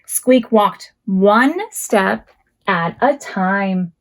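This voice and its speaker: A woman's voice: mid-range voice, extremely slow. A woman hosting a library storytime for preschoolers, reading aloud and giving instructions in simple, child-directed phrases.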